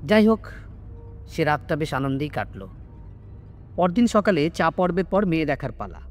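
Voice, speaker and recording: A man telling a scripted ghost story in an expressive, literary storytelling manner. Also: a little high, moderate pace, slight background noise. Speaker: storyteller, audio story narrator. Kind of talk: dramatic scripted narration of a Bengali ghost story. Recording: music bed under the narration. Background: background music.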